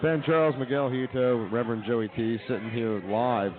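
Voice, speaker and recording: A man's voice: medium pitch, fairly even intonation, normal speed, a crowd in the background. Man hosting sports talk, thin phone-like sound. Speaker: radio show host. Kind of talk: live sports talk and commentary. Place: brewery venue, live broadcast.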